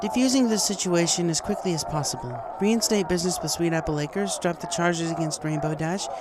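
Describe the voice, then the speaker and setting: A man voicing a calm but firm pony princess in a storybook, with a measured, formal, authoritative manner. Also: on the high side, over a noisy crowd, heavy background noise, voice pushed up, lightly acted. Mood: calm, stern.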